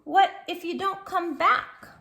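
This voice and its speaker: A woman's voice: very high-pitched, takes it slowly, sharp tone, echoey room, pitched above the performer's own voice, full character voice. A woman voicing a small, anxious child in a storybook, pleading and reassuring themselves about fitting in. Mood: worried.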